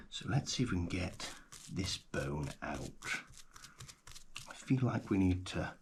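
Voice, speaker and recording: Genial male paleontologist, acted by a man, low-pitched, hushed ASMR roleplay delivery, hesitant and gentle, faint noise behind. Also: gravelly, exaggerated voice. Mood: gently delighted. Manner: unhurried, hesitant, gently delighted. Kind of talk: ASMR roleplay, soft-spoken and often whispered.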